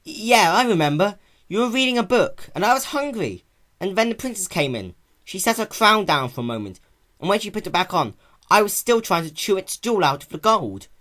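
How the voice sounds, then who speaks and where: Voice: mid-range voice, very animated, fairly quick, a bit bright, pitched above the performer's own voice. A performer voicing a young boy baby dragon in a cartoon-based story reading, anxious, protective and pleading, with a stammer. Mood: fond.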